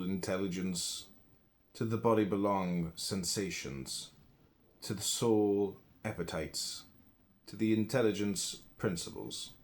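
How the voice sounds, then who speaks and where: A man reading an old philosophy book aloud in measured phrases, pausing between them. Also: low-pitched. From United States. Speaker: audiobook reader.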